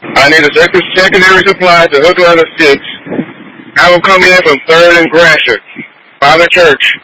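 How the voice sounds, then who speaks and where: A man's voice: fairly high pitch, steady tone, normal speed. A man working as a firefighter, giving terse operational messages over a two-way radio. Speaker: firefighter on fire department radio. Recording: noisy fire radio scanner feed.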